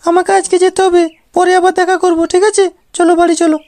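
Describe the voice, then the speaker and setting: A woman's voice: very high-pitched, flat delivery, fast, a little mellow, no echo. A woman voicing a coy, sweet young married woman in a comic cartoon, with a pleading manner. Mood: gentle, hurried. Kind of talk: comic amateur cartoon dialogue.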